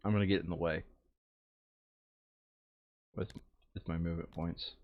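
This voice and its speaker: Low-voiced man thinking aloud, muffled sound. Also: fairly even intonation, normal speed, gravelly. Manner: thinking aloud, muttering counts. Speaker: tabletop board game player. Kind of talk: casual chat during board game play.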